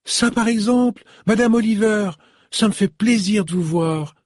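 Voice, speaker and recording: Guy reading a book doing a bubbly high-pitched young shopgirl, chatty, kinda husky. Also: normal speed, a little mellow, dry recording, clean studio sound. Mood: eager. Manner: chatty, eager, exclamatory. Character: cheerful young female shop assistant.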